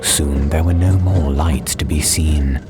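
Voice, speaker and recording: A man reading a dramatized storybook as an audiobook narrator, with measured, deliberate phrasing. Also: very deep voice, fairly even intonation, over loud music. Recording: clear ambience and train noise behind. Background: soundscape of train and ambience.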